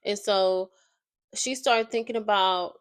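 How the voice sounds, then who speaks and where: A woman's voice: mid-range voice, takes it slowly, clear, resonant tone, no room sound, studio quality. A young woman, slightly nasal and congested, casually summarizing a book in a loose, rambling way and often searching for words. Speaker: YouTuber summarizing a book while playing a game.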